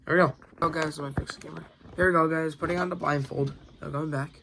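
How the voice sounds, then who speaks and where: A man hosting a casual guessing-game vlog, informal and excitable. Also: a little high, fast, gravelly, a little hiss or hum. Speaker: video host.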